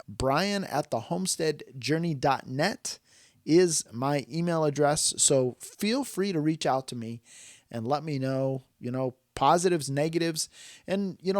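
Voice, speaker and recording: A man's voice: on the high side, dry recording, studio quality. An American man hosting a podcast in a casual, chatty style, speaking straight to listeners in a folksy, emphatic way. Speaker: podcast host.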